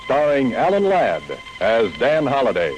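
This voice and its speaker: A man works as a 1940s radio announcer. His delivery is animated in pitch. Loud music plays behind him on the vintage broadcast recording. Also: unhurried, rough recording.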